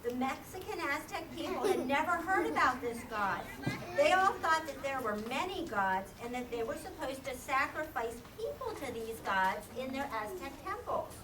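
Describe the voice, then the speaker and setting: A woman's voice: high-pitched, very animated, moderate pace, crowd noise. A woman narrating a scripted story for a children's puppet show. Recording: echoey room, distant mic, background noise.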